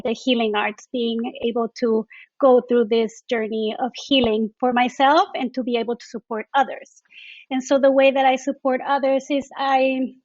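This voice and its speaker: A woman's voice: high voice, no echo, highs cut off. A woman with a Mexican accent, a guest on an interview podcast, talking enthusiastically and effusively about her work. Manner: effusive, enthusiastic, repeats "I love". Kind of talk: conversational interview.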